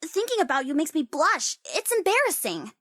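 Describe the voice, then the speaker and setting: High-pitched, cocky sorceress. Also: female voice, very animated, moderate pace, a bit bright, dry recording, studio quality. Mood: bashful, grudging. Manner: theatrical, teasing, swinging into wry asides. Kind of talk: video game character voice lines.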